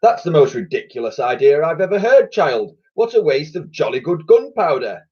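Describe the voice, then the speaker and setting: A man's voice: sing-song, moderate pace, a little mellow, breathy edge, lo-fi sound. A man voicing a blustering, pompous old navy admiral who thunders his orders. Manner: booming, bossy, thunders orders.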